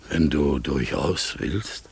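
Talking raven, very low husky voice, slow, forlorn, solemn, radio drama. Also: male voice, heavily acted. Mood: puzzled, cautious. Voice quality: gravelly, husky, breaking into whispers. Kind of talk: radio play acting.

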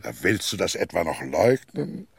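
A man's voice: deep voice, very animated, normal speed, vocal fry, breathy edge, no echo, clean studio sound, heavily acted. A man acting in a German radio play as a vain, powerful tycoon, pompous and urgent as he argues his case. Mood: scornful, insistent. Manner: pompous, urgent, persuasive, swinging between boasting and confiding.

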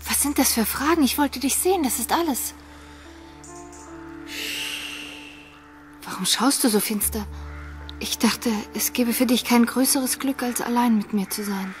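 An actress playing a young woman in love in a radio drama. She is determined and impatient, pressing her point directly in a fairly high voice. Faint music plays behind her. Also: normal speed, lightly acted. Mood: tender reproach. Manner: insistent, direct. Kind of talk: radio play acting.

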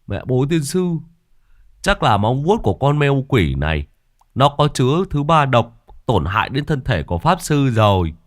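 A man's voice: mid-range voice, very animated, moderate pace, dry recording, clean studio sound, pitched above the performer's own voice, natural voice. A man voicing a blunt old sorcerer who curses and gives a grim verdict. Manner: blunt and cursing. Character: gruff old male sorcerer, a blunt cursing elder.

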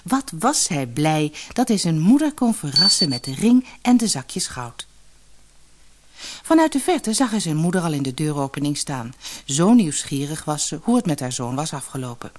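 Woman narrating a kids fairy tale, slightly low husky voice, really animated melody. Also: moderate pace. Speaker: storyteller.

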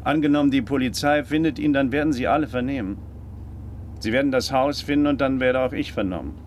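An actor in a German radio drama playing a cautious, methodical handyman, formally worded and deliberate. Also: medium pitch, a little hiss or hum, close to an everyday voice. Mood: firm, resolute. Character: Floyd, male handyman drawn into crime, cautious, methodical.

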